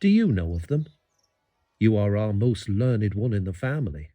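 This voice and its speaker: A man's voice: on the low side, expressive, normal speed, mellow. An actor playing a fretful eighteenth-century English village parson, reading out his letters in formal, old-fashioned phrasing for an audio drama. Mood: fretful, earnest.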